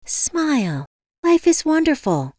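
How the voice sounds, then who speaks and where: A pleasant female phone dispatcher, put on by a woman narrator, chirpy and endlessly patient. She speaks in a raised pitch with scripted politeness, recorded dry. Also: slow, no room sound, full character voice. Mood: chirpy, reciting. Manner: polite, calm, reciting like a script. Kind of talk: acted audiobook dialogue.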